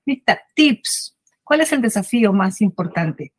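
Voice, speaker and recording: A woman's voice: medium pitch, animated, measured pace, breathy edge, no echo, studio quality. A woman hosting a podcast interview, talking in an engaged, conversational way. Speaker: podcast host.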